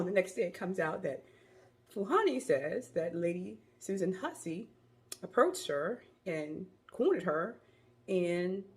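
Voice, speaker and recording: Woman, hoarse from a cold, creaky voice, mid-pitched, casual opinion vlog, phone recording. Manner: chatty, rambling, opinionated. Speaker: commentary vlogger.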